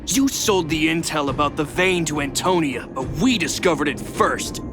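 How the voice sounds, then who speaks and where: An actor playing a resentful, bullying miner who leads a gang of thugs in a video game, sneering and taunting. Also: male voice, on the high side, lively intonation, normal speed, music in the background, natural voice. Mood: accusing. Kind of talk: animated video game voice acting.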